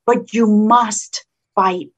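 A woman with a slight Colombian Spanish accent, a state senator talking in an interview in an animated, combative way with frequent restarts. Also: medium pitch, extremely slow. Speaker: state senator, political guest. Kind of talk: casual political interview.

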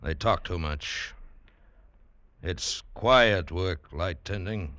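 An actor playing a big, surly, taciturn head lighthouse keeper with a curt, gruff delivery in a classic radio drama. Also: male voice, sits low, very slow, sharp tone, no echo, heavily acted. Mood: surly, weary.

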